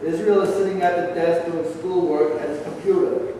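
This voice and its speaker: Guy narrating a play script, high voice, very echoey, far from mic. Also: steady tone, moderate pace, dark tone. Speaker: stage-direction narrator. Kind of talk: scripted narration at a staged reading. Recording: echoing hall, distant mic, faint noise.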